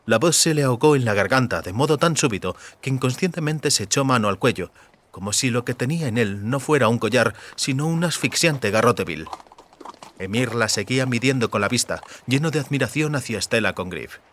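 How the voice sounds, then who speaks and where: A man's voice: mid-range voice, expressive, fairly quick, fairly bright tone, slight background noise. A man reading scripted fantasy fiction as an audiobook narrator.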